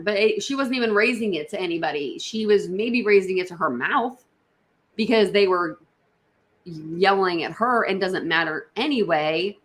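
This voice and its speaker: A woman hosting a casual livestream, reacting to videos in a rambling way, repeating herself and talking straight to her viewers. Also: medium pitch. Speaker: livestream host.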